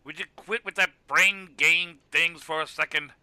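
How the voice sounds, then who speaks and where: A man's voice: a little high, steady tone, moderate pace, sharp tone, clear, resonant tone, no room sound. A man voicing a hot-tempered, sarcastic cartoon Viking with a blunt, exasperated delivery. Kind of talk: comedic cartoon voice acting.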